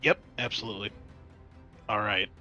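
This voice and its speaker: A man's voice: lowish voice, moderate pace, sharp tone, lo-fi sound, soft background music. A man acting as game master, narrating a tabletop role-playing game and talking players through the rules.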